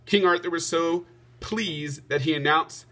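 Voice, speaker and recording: A man's voice: a little high, a little slow, fairly bright tone. A man reading a children's storybook aloud expressively, as a teacher would.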